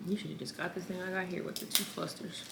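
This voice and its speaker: A woman's voice: fairly low pitch, little pitch movement, quick pace, creaky, fairly bright tone, a little hiss or hum. A young woman vlogger chatting casually and teasing over a seafood meal, with a playful drawl. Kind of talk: casual mukbang chat.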